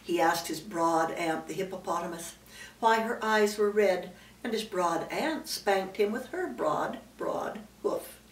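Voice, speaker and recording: A woman's voice: mid-range voice, animated, unhurried. An older woman, a great-grandmother, reading a children's storybook aloud with emphatic phrasing and explanatory asides. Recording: roomy, distant mic, faint background noise.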